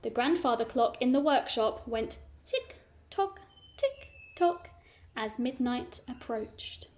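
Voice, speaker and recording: A woman's voice: fairly high pitch, lively intonation, slow pace, darkish tone, slight background noise. A woman reading a children's story aloud, narrating expressively and adding simple asides for young listeners.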